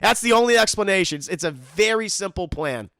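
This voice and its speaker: A man hosts a casual, joking commentary on a cartoon episode, his fairly high voice leaping all over the place with a gravelly rasp. His tone is bright and tense, and the close mic keeps the room dry. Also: brisk, dry recording. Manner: joking, animated, mock-voices characters. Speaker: commentary host.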